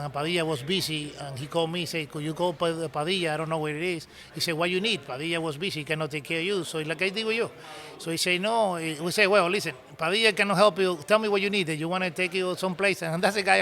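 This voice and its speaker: A middle-aged man from Cuba with a Cuban Spanish accent, telling stories in animated broken English as a podcast guest. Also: a little high. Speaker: podcast guest, cigar business owner. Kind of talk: casual storytelling conversation.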